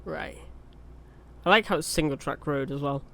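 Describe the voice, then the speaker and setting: A man's voice: on the high side, normal speed, vocal fry, bright, forceful tone. A young man with a cold, chatting casually over a truck driving game, rambling with fillers and restarts. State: has a cold. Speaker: gaming YouTuber. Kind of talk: casual gameplay commentary.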